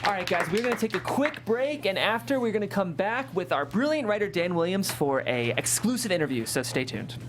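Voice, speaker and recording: A woman hosting and narrating, speaking in a clear presenter's way as she reads or introduces. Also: medium pitch, animated, fairly quick, few pauses, slight background noise. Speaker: host and stage-directions narrator. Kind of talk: scripted narration and interview hosting.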